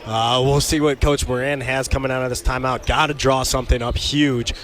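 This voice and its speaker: A man's voice: medium pitch, steady tone, fairly quick, a bit bright. A man doing color commentary on a basketball radio broadcast, analyzing the game conversationally with casual fillers.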